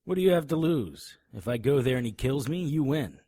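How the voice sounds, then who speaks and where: Tough private eye man, lowish husky voice, sardonic, hardboiled audio drama, very dry sound. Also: expressive, fairly quick, dark tone, dry recording. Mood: firm, resolute. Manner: confrontational, sardonic. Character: tough, righteous male private detective, protective and defiant.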